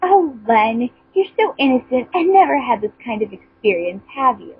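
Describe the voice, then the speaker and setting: A woman's voice: on the high side, big pitch swings, normal speed, husky, no room sound, exaggerated voice. A woman voicing a tough, teasing teenage girl in an amateur fan fiction reading. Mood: teasing, concerned. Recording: muffled phone-like voice chat line.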